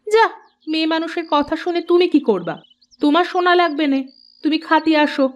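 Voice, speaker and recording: An outspoken village housewife in a cartoon , played by a woman, with an exceptionally high voice. Her talk is colloquial, pert and wary, quick to question. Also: fairly even intonation. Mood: dismissive. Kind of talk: Bengali moral-story cartoon dialogue.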